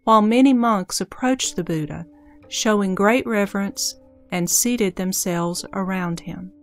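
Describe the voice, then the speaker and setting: Woman narrator over soft plucked strings. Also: mid-range voice, a little slow, soft background music. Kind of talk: scripted narration of a sutra audio drama.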